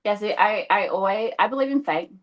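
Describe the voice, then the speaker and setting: A woman's voice: medium pitch, expressive, a little fast. A woman in her thirties, a podcast guest chatting casually over a video call, laughing often and explaining things patiently. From Australia. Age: 30s. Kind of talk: casual interview chat.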